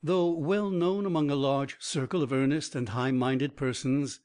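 A man reading a biography aloud as an audiobook narrator, in an even, measured way. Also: on the high side.

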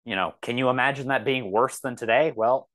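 A man with a medium-pitched voice talks as an interview guest. He is reflective and conversational, pausing mid-thought and adding measured asides as he explains. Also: a bit bright. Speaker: podcast guest, author.

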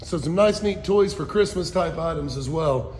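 A man's voice: a little high, moderate pace, a little mellow, faint music behind. A man in his forties giving a casual, folksy auction walkthrough, listing items for viewers on a handheld phone. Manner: folksy, addresses viewers as guys. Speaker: auction house presenter. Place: large indoor auction hall and warehouse. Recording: echoey warehouse hall reverb.

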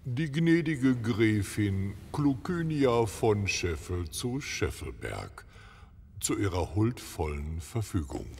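Deep, gravelly butler. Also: male voice, sing-song, a little mellow, in a large hall, slight background noise, exaggerated voice. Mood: formal. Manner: formal, drawn-out announcing. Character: stiff, dry castle butler. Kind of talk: fairy-tale comedy radio play acting.

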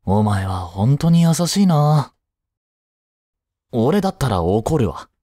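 Voice drama role: laid-back, teasing, protective teenage boy , played by a young man; medium pitch, unhurried, casual delivery. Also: sing-song, mellow, dry recording, clean studio sound. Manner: casual, blunt, teasing banter with pauses. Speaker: voice actor. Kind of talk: first-person voice drama, casual chat to the listener.

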